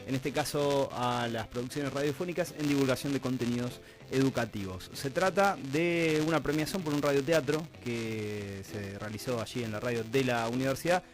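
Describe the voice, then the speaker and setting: A man's voice: mid-range voice, normal speed, hardly any pauses, low-quality recording. An Argentine man hosts a radio interview in a mid-range voice. He talks conversationally and reflectively, often breaking up long questions as he goes. Faint music plays behind him. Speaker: radio host interviewing guests. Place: radio studio.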